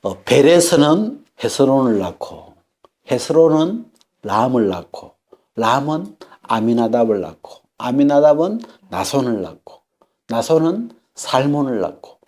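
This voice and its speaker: A man in his fifties preaching a Sunday sermon, halting and repeating words, then rising sharply for emphasis. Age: 50s. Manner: animated preaching, pitch swinging up for emphasis and dropping low. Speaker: pastor.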